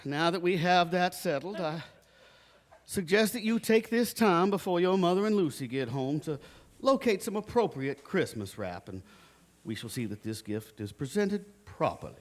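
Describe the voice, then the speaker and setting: A man's voice: sits high, lively intonation, normal speed. Man playing a pompous, flustered father, swooping intonation. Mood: relieved. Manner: formal, pompous, hesitant. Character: flustered, dignified father improvising answers for his children. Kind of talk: live stage audio drama. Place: recreation center stage, live performance.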